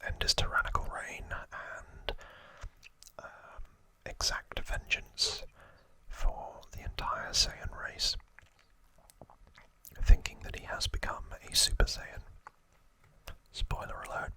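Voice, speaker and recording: A man whispering as an ASMR host, telling trivia in a hushed, halting storytelling manner. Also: poor audio. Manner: hushed, slow, halting delivery.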